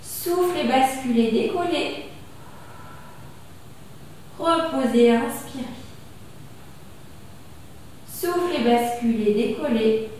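High-voiced French woman cueing exercises. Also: a little hiss or hum. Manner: calm, slow cueing of breath and movement. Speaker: fitness instructor. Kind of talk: guided exercise class instruction. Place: small gym studio with wall bars, indoors. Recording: echoey room from far off.

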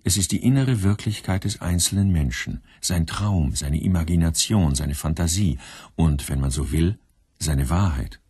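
Deep husky guy narrating radio script, slow, very lively intonation, dry studio. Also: no room sound.

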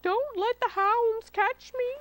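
A woman's voice: high-pitched, fairly quick, no room sound. A woman voicing a mean, tricky old male fox with a greedy, cajoling, pleading manner in a children's story. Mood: pained, pleading.

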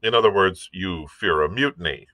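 A man reading a storybook, voicing a calm, reasonable gentleman doctor who speaks in a measured, courteous, wry way. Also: sits low, dry recording. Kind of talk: audiobook character reading.

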